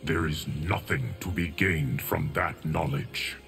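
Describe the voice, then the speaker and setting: Casting: stoic timeless guardian, very low and slow, grave formal game dialogue, faint music behind. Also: male voice, gravelly, a bit bright, exaggerated voice. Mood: solemn. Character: solemn, stoic ageless male guardian of time. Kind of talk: video game voice acting.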